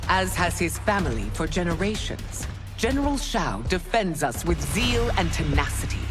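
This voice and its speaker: A commanding middle-aged empress in a dramatic game cutscene. Her voice sits on the low side and moves widely in pitch, formal and measured, over prominent music. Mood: ceremonial. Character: stern, regal middle-aged empress and mother.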